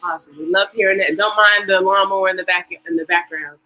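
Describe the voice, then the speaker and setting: Bright upbeat woman hosting a podcast. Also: mid-range voice, steady tone, poor audio. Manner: upbeat, chatty, drawn-out emphasis. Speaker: podcast host. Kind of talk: casual podcast hosting on a livestream.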